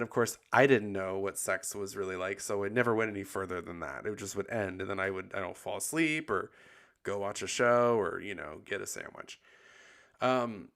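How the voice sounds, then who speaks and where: A man hosting a podcast in casual confessional chat, sounding husky and tired after bronchitis, rambling with lots of likes and ums. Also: on the low side, fairly bright tone. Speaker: podcast host.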